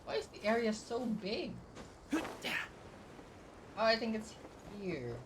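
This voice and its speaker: A woman's voice: on the high side, big pitch swings, slow, distant mic, noisy background. A woman streamer giving casual gameplay commentary, thinking aloud and muttering to herself as she plays. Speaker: game streamer.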